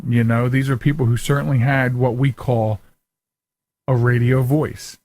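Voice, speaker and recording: A man's voice: medium pitch, steady tone, measured pace, a little mellow, no echo. A man hosting a radio show in a casual, rambling, conversational way. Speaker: radio show and podcast host.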